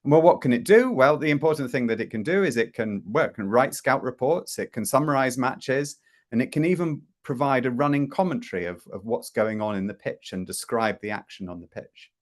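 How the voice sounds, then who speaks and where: A man's voice: mid-range voice, lively intonation, fairly quick. Chatty middle-aged man on a video call. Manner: chatty, with frequent fillers and restarts. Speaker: host presenting a product demo. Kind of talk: casual live presentation. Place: home room.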